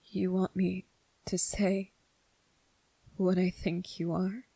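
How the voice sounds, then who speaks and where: A woman voicing a gentle, earnest young male soldier in a soft-spoken, halting ASMR roleplay. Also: lowish voice, flat delivery, creaky, husky, dry recording, heavily acted, rough recording. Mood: nervous, hushed. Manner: hesitant, halting, repeating phrases, earnest.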